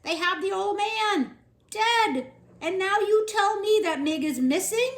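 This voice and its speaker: A woman's voice: very high-pitched, expressive, measured pace, reverberant, pitched above the performer's own voice, exaggerated voice. A woman doing a brusque, scornful castle woman. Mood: indignant. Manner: shouting, scornful.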